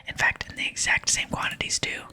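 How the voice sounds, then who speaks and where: An ASMR gamer voicing a wry, over-explaining game adventurer in a wordy, mock-innocent, breathy whisper. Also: a little fast, sharp tone. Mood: mock surprise. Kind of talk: whispered game dialogue reading.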